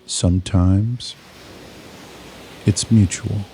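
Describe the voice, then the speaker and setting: A man narrating a scripted guided meditation in a soothing, measured manner, leaving pauses between short phrases. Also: fairly low pitch, steady tone, mellow, slight background noise.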